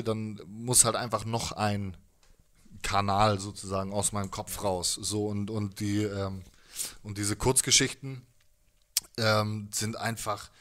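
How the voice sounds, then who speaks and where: Man in his thirties, slightly low pitch, laid-back, colloquial, self-deprecating, casual interview guest, close handheld mic. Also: fairly bright tone. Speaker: musician guest. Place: small music room indoors. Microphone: handheld microphone held close.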